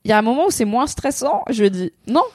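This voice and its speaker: A woman's voice: a little high, animated, fast, darkish tone, dry recording, clean studio sound. A woman hosting a casual pop-culture chat, talking at length and explaining eagerly with lots of fillers. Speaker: podcast host.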